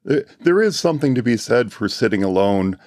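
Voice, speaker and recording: A man who organizes a conference, hoarse and losing his voice, speaking in a heartfelt, reflective way and clearing his throat often. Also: medium pitch, big pitch swings, a little fast, darkish tone, no echo, clean studio sound. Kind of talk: casual panel chat.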